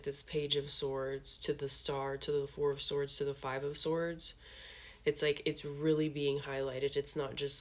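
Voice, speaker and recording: A young woman with a sniffly, congested voice from allergies, giving a casual tarot reading to her viewers, chatty and reassuring, with many "like" and "okay" tags. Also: deep voice. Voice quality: nasal. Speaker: tarot reader.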